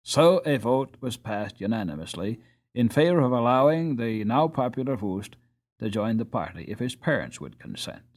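Animated man narrating a story. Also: medium pitch, normal speed. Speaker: audiobook narrator. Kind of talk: expressive scripted storybook narration.

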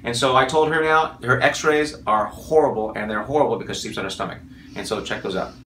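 Animated, joking male chiropractor. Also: mid-range voice, fairly quick, a little hiss or hum, poor audio. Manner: jokey, animated, talks to viewers. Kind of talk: chatty patient session on camera. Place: small office with framed pictures.

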